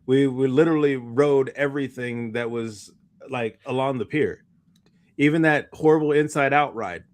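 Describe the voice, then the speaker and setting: A man's voice: mid-range voice, normal speed. A man co-hosting a podcast, chatting casually in informal, slangy speech. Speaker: podcast co-host. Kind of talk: casual chat.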